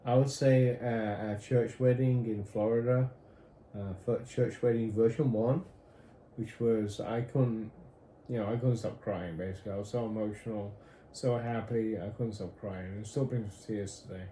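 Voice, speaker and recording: British man with a mellow, roomy voice. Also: lowish voice, steady tone, normal speed. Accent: British, drifting toward American. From Britain. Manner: rambling, easygoing, jokey. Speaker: husband in a couple's question game. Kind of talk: casual chat. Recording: echoey room, faint background noise.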